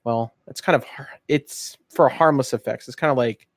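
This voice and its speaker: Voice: medium pitch, moderate pace, no echo, clean studio sound. A tabletop game player chatting casually and thinking aloud.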